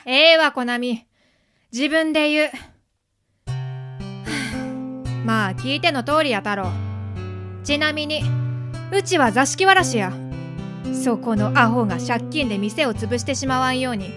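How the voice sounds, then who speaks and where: Woman playing a high-voiced, blunt Kansai house spirit. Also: slow pace, pauses often. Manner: frank, blunt. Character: blunt, bossy female zashiki-warashi house spirit. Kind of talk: radio drama acting. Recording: loud guitar music behind.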